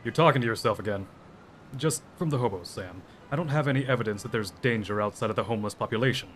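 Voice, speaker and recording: An actor playing a dutiful, wry police detective in an audio drama, with a dry, earnest noir delivery. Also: male voice, lowish voice, fast, a bit bright, a little hiss or hum, lightly acted. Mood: stern, hushed.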